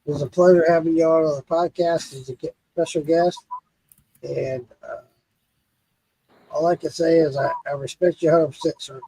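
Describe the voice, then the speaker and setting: Husky, tipsy man hosting a podcast. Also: a little high, steady tone, normal speed, dark tone, rough recording. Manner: friendly, earnest, repeats thanks. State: tipsy from drinking. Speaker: podcast host. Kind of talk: casual live podcast chat.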